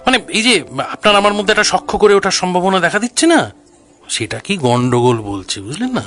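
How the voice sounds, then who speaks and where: Man acting a sheepish, lively flirtatious suitor. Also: sits high, brisk. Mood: wry. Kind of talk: audio drama romantic comedy dialogue.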